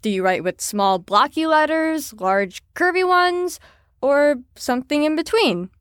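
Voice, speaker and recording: A woman's voice: high voice, animated, unhurried, no room sound, studio quality. A woman in an audio drama playing an earnest, caring facility staffer who talks in a reassuring, sincere, slightly rambling way. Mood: earnest, encouraging.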